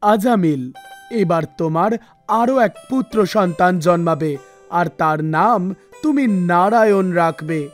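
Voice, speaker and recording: Woman voicing a solemn wandering holy man, pitched down. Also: takes it slowly. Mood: solemn, reassuring. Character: wandering holy man, solemn male sadhu seeking shelter. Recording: music bed under the voice.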